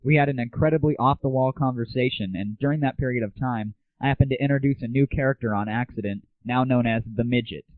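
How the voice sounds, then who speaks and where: A man's voice: medium pitch, quick pace, hardly any pauses, no room sound. A man hosting a solo internet audio show in a casual monologue, crude, sarcastic and irreverent. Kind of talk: casual scripted monologue about games and the internet. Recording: muffled lo-fi 1990s internet audio, dry.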